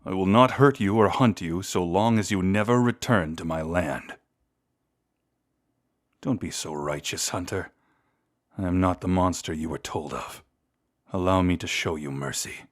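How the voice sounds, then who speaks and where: A man voicing a proud, seductive vampire lord in a scripted audio roleplay, speaking in a theatrical, deliberate and intimate way. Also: deep voice. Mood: indignant, commanding. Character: seductive, menacing vampire lord, proud and secretly tender toward lost love.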